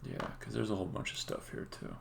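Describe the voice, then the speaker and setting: A man's voice: fairly low pitch, wildly swooping pitch, quick pace, vocal fry. A man thinking aloud hesitantly in casual live-coding commentary, as a game developer streaming his work. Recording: faint noise, keyboard typing and clicks. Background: keyboard typing and mouse clicking.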